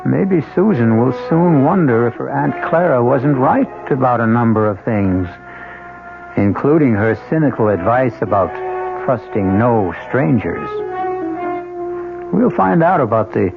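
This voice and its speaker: A man hosting a radio mystery drama, narrating in an ominous, deliberate, suspenseful storytelling style with a gravelly voice. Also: medium pitch, expressive. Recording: tinny old radio, music behind.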